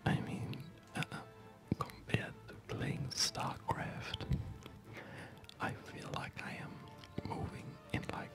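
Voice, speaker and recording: Man, soft breathy whisper, slow and unhurried, ASMR gaming host, game music playing loudly behind. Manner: relaxed, unhurried, chatty. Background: loud game music.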